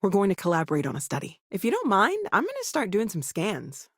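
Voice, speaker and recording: A woman's voice: medium pitch, wildly swooping pitch, fast talker, breathy edge. A woman playing an earnest, brainy scientist in a video game, thoughtful and slightly hesitant, eager but anxious. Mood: focused, earnest.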